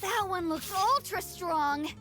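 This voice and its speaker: A woman voicing an eager, cocky little child in a video game, bright and boastful. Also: very high-pitched, lively intonation, slow pace, over music, exaggerated voice. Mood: gloating, excited.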